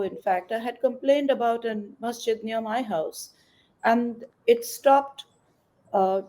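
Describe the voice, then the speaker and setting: A mature woman activist gives considered, articulate answers in an interview. Her voice is mellow and soft-edged, pitched a little high, as she explains things point by point. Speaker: environmental activist, interview guest.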